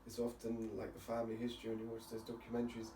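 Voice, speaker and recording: A man's voice: mid-range voice, monotone, rapid-fire, dark tone. A man hosting a podcast in casual chat, chatty and jokey, asking lots of questions. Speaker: podcast host. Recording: roomy, distant mic with faint hiss.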